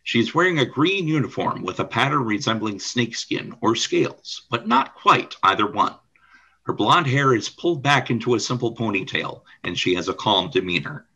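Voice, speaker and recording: A man narrates the action of a radio play from a script. His voice sits at a middle pitch, with a bright, pressed tone and a husky grain. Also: animated, moderate pace, highs cut off.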